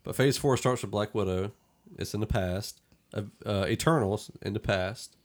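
A man's voice: lowish voice, moderate pace. A man hosting a casual film discussion podcast, talking in a conversational, opinionated way. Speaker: podcast host.

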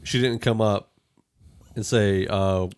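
A man's voice: lowish voice, steady tone, takes it slowly, smooth. A man chatting casually as a podcast panelist, putting in brief remarks about a film. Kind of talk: casual chat, brief interjections.

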